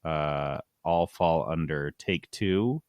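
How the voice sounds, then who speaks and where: A man's voice: extremely low pitch, slow, a little mellow, clean tone, no echo, studio quality. A man hosting a podcast in a casual gaming news chat, talking in a wry, informal way. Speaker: podcast host.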